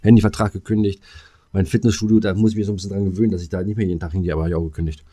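A man with a fairly low voice co-hosts a podcast, recorded dry without room sound. His talk is casual and rambling. He often repeats phrases and slips in dry jokes. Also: flat delivery, very fast, dark tone, no room sound. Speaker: podcast co-host. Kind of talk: casual comedic banter.

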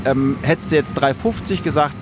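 A man, an airline pilot, giving thoughtful, measured explanations in an interview. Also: mid-range voice. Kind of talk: interview answers, explanatory chat. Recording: clear vehicle-like ambient noise.

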